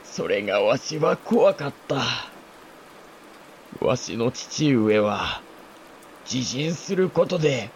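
A man playing a dying older samurai lord, an anguished father speaking halting, archaic lordly Japanese in a historical audio drama. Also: medium pitch, very animated, highs cut off, a little hiss or hum. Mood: grave confession.

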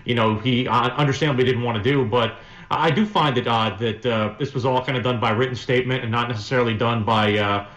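Man, sports radio guest, mid pitch, measured and hesitant conversational delivery, echoing room sound. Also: fairly even intonation, a bit bright. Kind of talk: casual sports interview answers.

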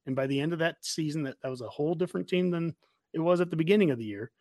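A man with a somewhat high voice chats casually about sports on a fan show. He is emphatic and anecdotal, repeating phrases to drive a point home. The sound is dry, with no room around it. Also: very fast, a little mellow, no room sound.

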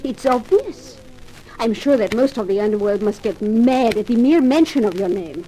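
A woman's voice: on the high side, expressive, moderate pace, dark tone, natural voice. A woman playing an admiring, flirtatious nightclub performer in a 1950s radio drama, coy and solicitous. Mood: admiring, seductive. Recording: noisy old radio drama recording.

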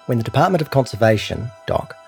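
A man with a New Zealand accent reading a scripted long-form news feature as narrator, in a measured, serious way. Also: medium pitch, animated, a little mellow, soft background music. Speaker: narrator of a long-read journalism podcast.

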